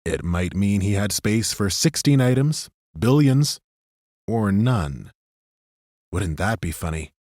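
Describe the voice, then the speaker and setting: A man narrating a fantasy audiobook in a measured, even way. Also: on the low side, dry recording, clean studio sound. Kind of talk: scripted narration.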